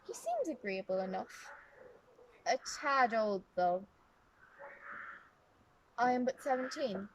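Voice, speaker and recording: Woman playing a prim, high-voiced young noblewoman. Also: expressive, takes it slowly, long pauses, background noise. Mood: resigned. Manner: prim, formal, old-fashioned phrasing. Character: privileged seventeen-year-old young noblewoman, wistful, playful, bored. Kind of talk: dramatic historical monologue.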